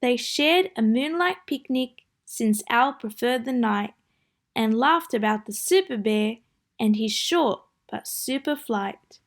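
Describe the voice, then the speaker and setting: A woman reading a children's storybook aloud with a deliberate, sing-song rhyming delivery. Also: a little high. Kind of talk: read-aloud storybook narration.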